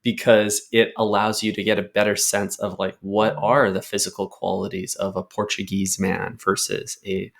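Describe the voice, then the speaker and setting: A young man with a lowish, gravelly voice co-hosts a comedy podcast. He rambles through jokes in relaxed chat and laughs often. His voice has a rough, creaky texture. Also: normal speed, few pauses. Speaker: podcast co-host.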